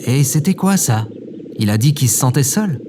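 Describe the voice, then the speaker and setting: Man as an anxious, medium-pitched young guy. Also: lively intonation, normal speed, noisy background, natural voice. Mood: worried, hesitant. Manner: hesitant. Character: anxious, awkward young man worried about his cat. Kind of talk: scripted audio drama acting.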